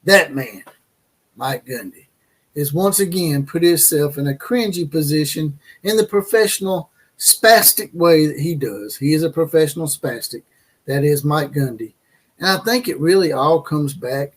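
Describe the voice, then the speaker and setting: A man's voice: fairly high pitch, moderate pace. Brash, crude-talking male sports ranter. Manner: brash, crude and mocking, with fillers and restarts. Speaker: host of a college football commentary channel. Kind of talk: ranting opinion commentary.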